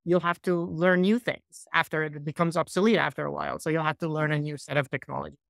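A man in his forties from Iraq, a software founder, answering interview questions on a laptop video call in a small room, thoughtful and loose with restarts. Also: a little high, little pitch movement, fast talker, gravelly, dry recording, clean studio sound. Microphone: laptop mic on a video call.

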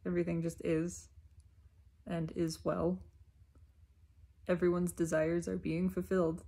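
A woman giving a reflective self-help monologue, unhurried and emphatic, often repeating her phrases. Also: lowish voice, level tone, warm tone, husky.

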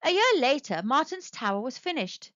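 A woman narrating a children's storybook aloud with expressive, animated storytelling. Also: sits high, normal speed, no echo. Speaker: storybook reader. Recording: dry, dull lo-fi sound.

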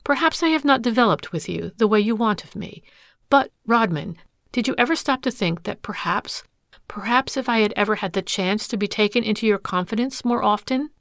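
A woman's voice: medium pitch, lively intonation, normal speed, dry recording, pitched above the performer's own voice, lightly acted. A woman voicing a proud, bitter wife who has been wronged, speaking with passionate scorn. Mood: pleading. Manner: scornful, passionate, breaking into sobs.

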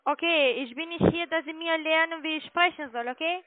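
A woman with a foreign accent, not a native speaker, making a halting, insistent sales call over the phone. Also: high-pitched, no echo. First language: not German. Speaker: call centre caller selling investments. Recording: tinny phone line.

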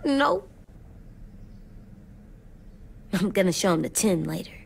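A woman's voice: fairly low pitch, very animated, a little fast, gravelly, a little hiss or hum, exaggerated voice. A woman voicing an earnest, stubborn little boy in an apocalypse video game, with a childlike, plain-spoken, solemn delivery. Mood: curious. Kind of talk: naturalistic video game acting.

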